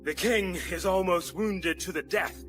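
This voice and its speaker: An actor playing a courtly, loyal rebel lord in a Shakespearean radio drama, with formal verse delivery. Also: male voice, high-pitched, a little slow, a bit bright, soft background music. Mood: eager.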